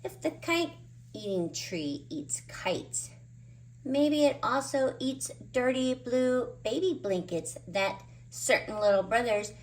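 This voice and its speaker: A woman's voice: on the high side, animated, slow pace, a little hiss or hum, voice pushed up. A woman voicing a scheming, scornful young big sister in a storybook reading.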